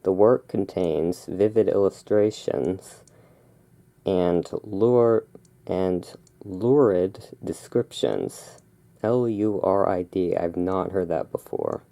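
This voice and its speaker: A host giving a relaxed ASMR read-aloud, drifting into casual, musing asides. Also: low-pitched, takes it slowly, creaky. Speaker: host reading and commenting on a magazine.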